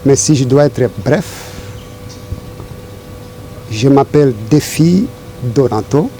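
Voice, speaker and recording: A middle-aged man from Congo answering interview questions in a deliberate, formal, rhetorical way. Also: mid-range voice, lively intonation, warm tone, slight background noise. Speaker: interview guest.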